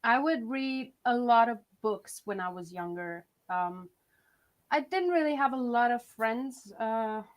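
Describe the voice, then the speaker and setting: A woman's voice: a little high, lively intonation, a little slow. A young woman from Switzerland, a singer-songwriter chatting as a guest on a video-call interview, often restarting her sentences. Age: young adult. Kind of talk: casual interview chat.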